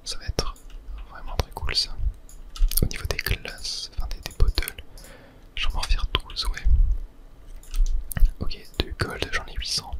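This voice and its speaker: A man from whispers casual ASMR gaming commentary in a breathy, unvoiced voice, murmuring his thoughts aloud. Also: measured pace, pauses often. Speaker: ASMR gaming video host.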